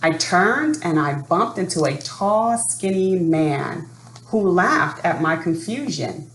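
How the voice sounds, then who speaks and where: A woman presenting and reading a picture book to children in an expressive storytelling style, addressing young listeners directly. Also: on the low side. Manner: expressive, asks young listeners questions and pauses. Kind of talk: read-aloud storytelling for children. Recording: roomy sound with faint background noise.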